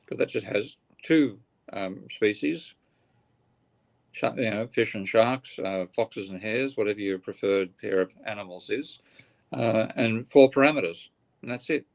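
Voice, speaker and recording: A weary, gravelly man with a low-set voice talks through ideas on a video call, stopping and restarting as he thinks aloud. The call audio is dull and lo-fi. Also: unhurried. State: tired, voice getting croaky. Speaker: guest economist. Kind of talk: explaining ideas in a live online discussion.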